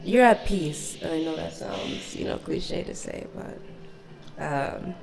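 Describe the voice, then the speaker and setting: A young woman born in DC and partly raised in Ghana, chatting casually as a podcast guest with a relaxed, pause-filled manner. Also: deep voice, creaky, echoey room, background noise. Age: young adult. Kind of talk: casual chat.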